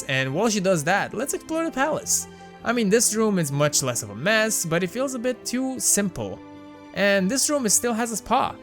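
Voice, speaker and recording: A man's voice: high-pitched, fairly bright tone. A young man with a Romanian accent giving casual, wry, self-mocking commentary as host of a gaming channel. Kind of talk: casual game mod commentary voice-over.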